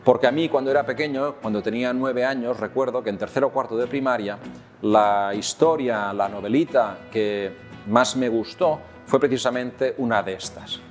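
A man in his fifties with a Catalan accent speaks in a calm, measured way, at an average pitch and with frequent pauses on "eh". He talks straight to the camera in a room with tall windows. Faint music plays behind him. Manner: calm, measured, with many "eh" fillers. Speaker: children's author answering questions. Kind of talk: recorded talk to camera for children.

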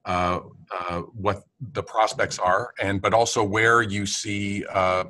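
A deep-voiced older man moderates from a conference room, picked up by a camera some distance away. He reads questions out haltingly and pauses often with fillers. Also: fairly even intonation, pushed and bright, slight background noise. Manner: hesitant, many fillers. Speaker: interviewer, dean and professor. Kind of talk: moderating a conversation, reading audience questions.

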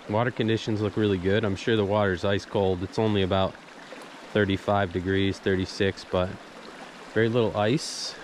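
A man's voice: mid-range voice, fairly bright tone. A man casually narrating his fly fishing trip outdoors beside a small snowy creek, explaining his gear step by step. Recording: audible stream water noise behind. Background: running creek water.

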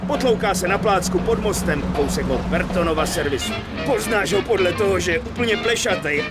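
A man's voice: high-pitched, expressive, loud music behind the voice. A man playing a chatty, derisive small-time street informant in a video game, colloquial and helpful. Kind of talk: dubbed video game dialogue.

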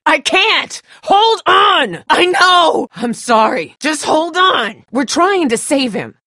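A woman playing a tough, blunt, protective young survivor in a video game, with a husky voice and a terse, urgent, sardonic delivery. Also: high voice, sing-song, slow, sharp tone, no echo, clean studio sound, full character voice. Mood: urgent, frantic. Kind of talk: dramatic game dialogue.

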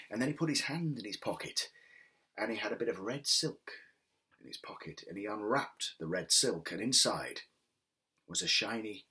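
A middle-aged man with a husky, breathy voice telling a story expressively and without hurry, with dramatic pauses. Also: lowish voice, bright, forceful tone. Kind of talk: oral storytelling for families. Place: indoors, small wood-panelled home room. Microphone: webcam at desk distance.

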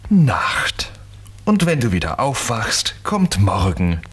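A man playing a sleepy, patient father in a 1970s German children's radio play, husky and drowsy. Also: lowish voice, sing-song, a bit bright, full character voice. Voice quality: husky, breathy. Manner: drowsy, slow.

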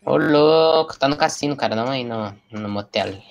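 A young man, chatting casually and excitably while streaming a shooter game, repeating words and joking. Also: mid-range voice, normal speed, creaky. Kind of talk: casual gaming chat.

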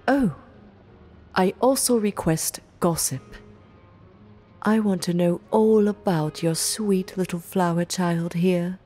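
Woman acting a slow, husky, coy mob eccentric. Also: fairly low pitch, dark tone. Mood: teasing. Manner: coy, theatrical, drawn-out old-fashioned phrasing. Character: playfully menacing woman, theatrical mob underling, teasing and eccentric. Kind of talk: ASMR audio roleplay acting.

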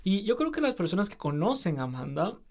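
A man's voice: high-pitched, very animated, fairly quick, darkish tone. A man hosting a student podcast interview, asking questions in a friendly way with frequent fillers. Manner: friendly, lots of fillers like "eh" and "este".

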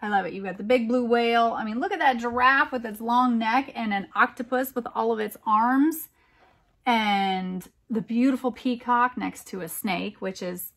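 A middle-aged American woman reading a picture book aloud to young children, with emphatic, deliberate phrasing and chatty asides. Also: on the high side, a bit bright. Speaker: children's storytime reader, teacher.

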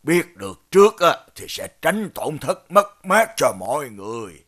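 A man voicing a learned village elder in an animated fairy tale, deliberate and pondering as he reads omens. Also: on the high side.

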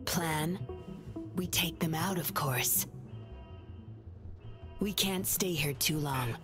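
Cool sly game agent lady, really low voice, bossy and composed, music behind. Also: slow. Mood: scornful. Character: cool, commanding female agent with puppets, confident and sly. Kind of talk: video game voice acting.

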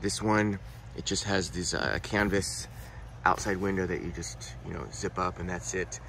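A man's voice: sits low, normal speed, vocal fry, slight background noise. A man casually showing and explaining a rooftop tent while working its parts with his hands, filmed outdoors on a handheld phone. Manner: relaxed, explaining while handling gear. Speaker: product reviewer. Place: outdoors beside a car, then inside a rooftop tent.

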